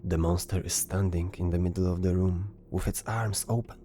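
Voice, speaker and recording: A man's voice: deep voice, steady tone, moderate pace, mellow. A man reading scripted narration for a fantasy story as its narrator. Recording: music behind the voice. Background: music.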